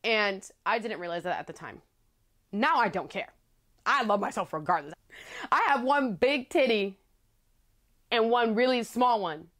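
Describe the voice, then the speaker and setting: A young woman in her twenties with a low voice, telling her story candidly to the camera in a casual vlog, chatty and playful with frequent laughs. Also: animated, a little slow, long pauses, fairly bright tone. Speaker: vlogger. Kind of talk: casual talking-head storytelling. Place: small living room with plants, indoors.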